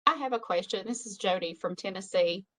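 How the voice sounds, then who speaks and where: A woman's voice: medium pitch, fairly quick. A woman from the United States calling in to ask an informal question. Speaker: caller asking a question.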